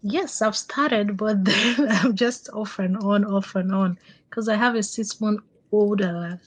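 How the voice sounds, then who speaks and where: A woman in her late thirties from Nigeria whose first language is Igbo speaks softly as an interview guest. She laughs often and hesitates with ums, and her voice sounds dry and close. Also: medium pitch, slow, no room sound. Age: late 30s. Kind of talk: casual interview.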